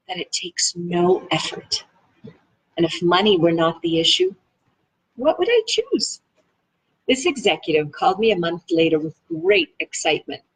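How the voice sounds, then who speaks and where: Expressive woman with a slightly husky voice. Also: medium pitch, poor audio. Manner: enthusiastic, expressive. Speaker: reader of a self-help book read-along. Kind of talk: read-aloud with casual, chatty asides.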